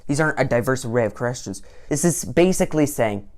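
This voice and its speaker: A man's voice: mid-range voice, lively intonation, quick pace, a little mellow. A teenage boy giving sarcastic commentary on an online quiz, with mock-indignant outbursts. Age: late teens. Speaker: vlogger.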